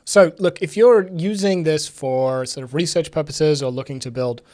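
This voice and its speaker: A young man with a touch of rasp in his throat talks through things on a live stream. He sits at his desk in a home office and speaks at an ordinary pitch. He works through his thoughts with plenty of fillers and now and then slips in a deadpan quip. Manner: thinking aloud with frequent fillers, dry sarcastic asides. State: raspy voice recovering from illness. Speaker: livestream host. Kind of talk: casual live tech demo commentary. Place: home office with bookshelves, indoors.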